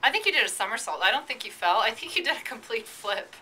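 A woman's voice: fairly high pitch, very animated, fast, bright, forceful tone. A woman chatting casually with a small child like a mother, cheerful, coaxing and encouraging, picked up by a camera mic. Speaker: mother on a family outing. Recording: noisy outdoor home-video audio.

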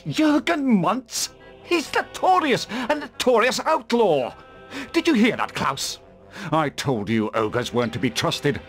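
A man playing a haughty, excitable witch hunter with a theatrical, scornful delivery in a fantasy video game. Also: high-pitched, slow pace, soft background music, full character voice. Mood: indignant, scornful.

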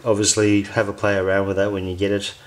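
A man's voice: fairly low pitch, completely flat delivery, quick pace, noisy background. A man talking casually through a how-to demonstration in an informal, explanatory way. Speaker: amateur tutorial maker.

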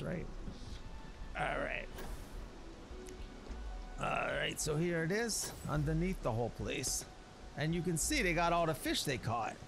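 Gravelly man telling tales in slangy talk. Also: a little high. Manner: folksy slangy rambling, ungrammatical street talk. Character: streetwise storyteller recounting a local legend. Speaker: let's player narrating a roleplay story. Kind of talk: casual in-character storytelling over gameplay. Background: game music.

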